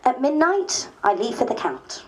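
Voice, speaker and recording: A woman playing a reflective female politician, reading her diary aloud in a measured, theatrical way. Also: sits high, very animated, a little mellow, slight background noise.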